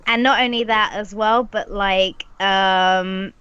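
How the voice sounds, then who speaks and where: A woman's voice: mid-range voice, steady tone, slow, sharp tone, clear, resonant tone, dry recording. A woman with a cold, chatting as a podcast guest, gushing about a TV show with emphatic, exaggerated talk. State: sniffly from a cold. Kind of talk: casual chat. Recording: dull lo-fi video call audio.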